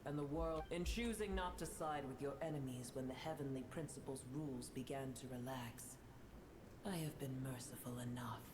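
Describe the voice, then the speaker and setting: Very deep, menacing ancient dragon. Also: female voice, noisy background. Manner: slow, imperious, disdainful. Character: Apep, ancient female dragon, haughty, bitter, menacing. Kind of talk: video game villain acting.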